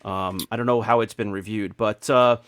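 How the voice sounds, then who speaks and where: A man's voice: on the low side, steady tone, moderate pace, a bit bright, clear, resonant tone, dry recording. Casual man in his forties, dry close sound. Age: 40s. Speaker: podcast host. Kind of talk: casual group chat.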